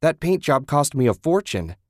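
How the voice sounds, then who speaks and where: A man's voice: on the low side, animated, moderate pace, no room sound, studio quality, natural voice. A man voicing a hot-tempered husband who accuses his wife in an angry, curt way. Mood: accusing.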